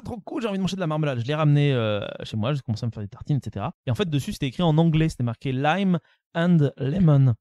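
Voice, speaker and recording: A man's voice: fairly high pitch, lively intonation, very fast, warm tone, no room sound, muffled recording. A man hosting a casual podcast quiz, explaining with many fillers and self-deprecating asides. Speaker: podcast host running a quiz. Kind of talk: casual chat.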